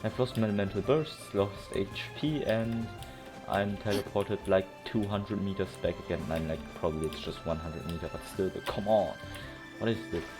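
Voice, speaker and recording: A man's voice: fairly low pitch, normal speed. A man giving casual running commentary on a game he plays, rambling and thinking aloud in an incredulous way. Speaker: gamer doing a playthrough. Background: game music.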